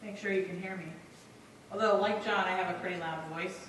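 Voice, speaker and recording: A woman giving a public talk as guest speaker, relaxed and conversational. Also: on the low side, fairly quick, slight background noise. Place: auditorium. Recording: echoey hall, distant mic.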